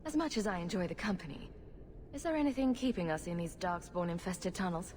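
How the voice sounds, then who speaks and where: A woman's voice: fairly low pitch, lively intonation, brisk, a little mellow, natural voice. A woman playing a wry, bold female rogue champion in a video game, sarcastic and flippant. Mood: sardonic. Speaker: player character in a fantasy game. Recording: echoey cavern reverb, faint noise.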